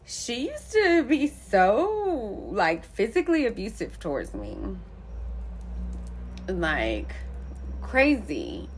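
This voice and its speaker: A woman's voice: medium pitch, gravelly. A young woman telling a personal story in a candid, rambling way, full of "like" and "um". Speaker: poet and storyteller vlogger. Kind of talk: casual storytelling monologue.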